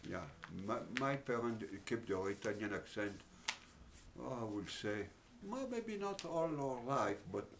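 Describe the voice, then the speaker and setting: An older man with a French accent talks through his memories at a careful, halting pace, pausing often and filling gaps. His voice sits at an ordinary middle pitch, with a faint hiss of noise behind it. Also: expressive. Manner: halting, with frequent pauses and fillers. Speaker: oral history interviewee. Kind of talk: reminiscing in an interview.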